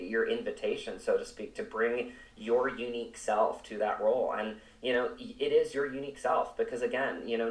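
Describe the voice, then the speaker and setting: Earnest man in an echoey room. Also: lowish voice. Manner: earnest, reflective. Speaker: actor, interview guest. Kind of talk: conversational interview. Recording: echoey room, distant from mic.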